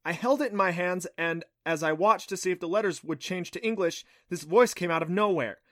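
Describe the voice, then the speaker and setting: An excitable young girl in an audiobook adventure, voiced by a man. The voice is pushed up high, breathy and eager, and turns exasperated and defensive easily. The sound is close and dry. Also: fairly quick, no echo. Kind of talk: audiobook character voice.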